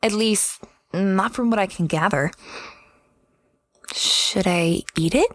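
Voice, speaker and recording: A woman's voice: medium pitch, slow, dry recording, close to an everyday voice. A woman playing an apologetic young female space adventurer in an audio drama, hesitant and self-effacing. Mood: reflective. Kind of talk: audio drama dialogue and diary-like narration.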